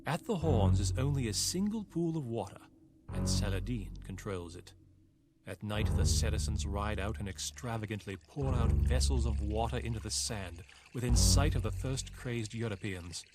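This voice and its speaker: Actor as low-voiced weary captive knight. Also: male voice, lively intonation, over loud music, natural voice. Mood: solemn, weary. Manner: measured, solemn storytelling. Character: weary captive European knight chronicling his captors' war. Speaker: campaign narrator. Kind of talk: scripted dramatic narration.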